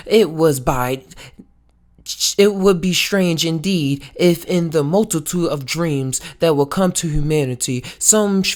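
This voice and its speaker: A woman's voice: sits low, darkish tone. A woman reading a novel aloud as a book club read-along, stumbling now and then over the words.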